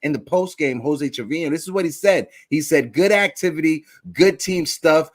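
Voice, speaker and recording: A man's voice: on the high side, moderate pace, no echo, clean studio sound. A man hosting a casual live sports fan show, talking straight to his audience in slangy, repetitive phrasing. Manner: addresses listeners directly, slangy, repeats phrases.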